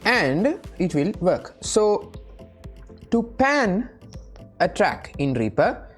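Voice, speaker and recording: A woman with a low voice presents a casual, step-by-step software tutorial. She sounds a little hesitant and often seeks confirmation. Faint music sits behind her speech. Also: big pitch swings, extremely slow.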